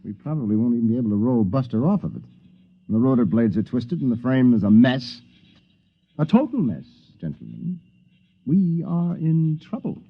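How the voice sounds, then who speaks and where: Easygoing male time traveler, wry, very lively pitch, husky; dull vintage radio recording. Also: normal speed. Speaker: Wes, time traveler stranded in prehistory. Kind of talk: 1950s radio drama acting. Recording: dull lo-fi 1950s radio broadcast.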